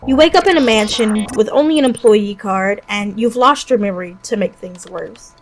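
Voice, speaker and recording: A woman's voice: medium pitch, normal speed. A woman hosting a narrated top list of games, conversational, with personal asides and enthusiastic recommendations. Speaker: video game reviewer, channel host.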